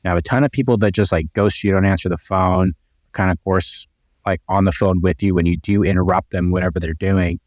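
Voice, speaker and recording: Casual low-voiced man. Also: fairly even intonation, a little fast, darkish tone, no room sound, clean studio sound. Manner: casual and upbeat, many fillers and restarts. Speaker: podcast co-host. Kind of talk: casual podcast chat.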